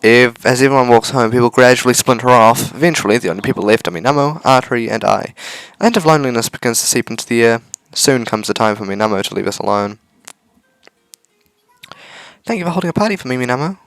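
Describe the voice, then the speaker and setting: A man reading a visual novel aloud as a let's-play host, with measured, earnest narration. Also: mid-range voice, fairly bright tone, smooth, no room sound, soft background music. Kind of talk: dramatic read-aloud narration with casual asides.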